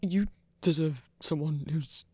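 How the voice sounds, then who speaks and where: An actor playing a shy, insecure werewolf hunter in an intimate ASMR romance, stammering in a breathy, gravelly voice. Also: mid-range voice, slow pace, darkish tone, no echo. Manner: hesitant, stammering, self-doubting.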